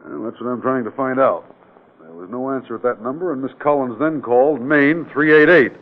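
An actor playing a direct, persistent state auditor in a 1940s radio crime drama, matter-of-fact and probing. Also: male voice, mid-range voice, a little fast, close to an everyday voice. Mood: firm, probing. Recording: tinny, band-limited old radio broadcast.

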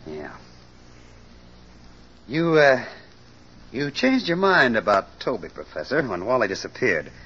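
A man's voice: mid-range voice, big pitch swings, measured pace, pauses often, pushed and bright, breathy edge, heavily acted. A man playing a shrewd, hard-nosed sleuth, clipped and commanding, in mid-century radio drama style. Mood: accusing, sardonic. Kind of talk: 1940s-50s radio detective drama acting. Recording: noisy, muffled vintage radio recording.

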